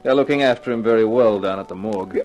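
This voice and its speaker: Male actor as cool wisecracking gumshoe, lowish voice, dry slangy radio-noir delivery, music underneath. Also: fast talker, a little mellow, dull audio, close to an everyday voice. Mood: dry, flirtatious. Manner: wry, slangy, clipped delivery. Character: wisecracking hard-boiled male private eye, flirtatious and cool. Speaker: actor and first-person narrator. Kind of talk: 1950s radio detective drama acting and narration. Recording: 1950s radio recording with music behind.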